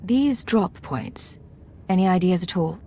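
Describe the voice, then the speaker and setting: A woman's voice: fairly low pitch, animated, measured pace, dark tone, a little hiss or hum. A woman playing a cool female secret agent in a video game, terse and controlled. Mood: cool, focused. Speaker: game character voice actor. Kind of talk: video game dialogue acting.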